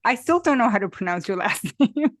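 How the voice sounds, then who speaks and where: A woman's voice: on the high side, lively intonation, brisk, no echo, clean studio sound. A woman from Mexico with a Mexican accent, hosting a podcast interview in an eager, chatty way. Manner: eager, chatty, laughs easily. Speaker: podcast host. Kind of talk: casual interview chat.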